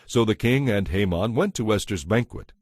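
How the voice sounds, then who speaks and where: A man's voice: on the low side, lively intonation, moderate pace, no room sound, clean studio sound. A man narrating scripture as a scripted, dramatized story, with a solemn storytelling delivery. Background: music.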